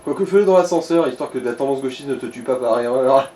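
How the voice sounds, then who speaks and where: A man's voice: medium pitch, fast talker, mellow, a little hiss or hum. A man giving casual commentary and advice to someone playing a video game. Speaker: co-commentator advising the player. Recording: echoey room, music behind.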